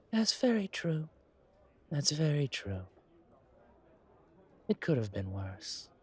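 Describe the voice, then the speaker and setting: A man playing a genteel, world-weary vampire in an audio roleplay, speaking in a courtly, deliberate way with pauses and sighs. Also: a little high, darkish tone, husky, heavily acted. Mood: wry, amused. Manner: courtly, deliberate, pausing, sighing between phrases. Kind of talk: slow audio roleplay acting, addressed to listener.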